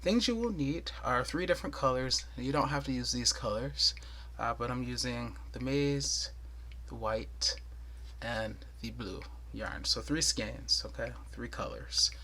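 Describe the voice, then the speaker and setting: Tutorial host, male, soothing voice, mid pitch, patient and chatty, counts stitches aloud. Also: unhurried, sharp tone. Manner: thorough, chatty, with light laughs and counting aloud. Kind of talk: casual step-by-step tutorial.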